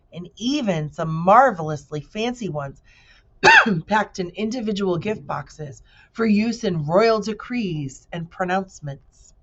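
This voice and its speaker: Woman teacher doing an expressive read-aloud of children's fiction, lowish register, lo-fi recording. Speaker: teacher reading aloud to pupils. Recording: dull lo-fi recording.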